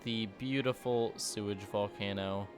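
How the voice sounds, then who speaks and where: A man's voice: fairly low pitch, steady tone, unhurried, music in the background. A man streaming a city-building game, chatting casually and joking with sarcasm. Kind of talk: casual gameplay commentary.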